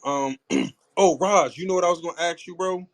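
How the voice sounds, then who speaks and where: A man hosting a podcast in casual chat, rambling and joking, sometimes reading a book passage aloud. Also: high-pitched. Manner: reads passages aloud haltingly. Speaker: podcast host.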